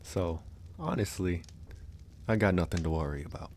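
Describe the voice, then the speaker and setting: A man playing a calm, candid, easygoing werewolf in an intimate ASMR audio roleplay, musing unhurriedly with sighs and pauses. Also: deep voice, slight background noise, lightly acted. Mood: relaxed, obliging.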